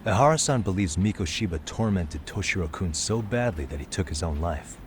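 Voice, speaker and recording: A man playing a calm but insistent investigator in a dramatic game cutscene, pressing for answers in a serious, deliberate way. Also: sits low, a little hiss or hum. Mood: probing. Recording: dramatic music behind.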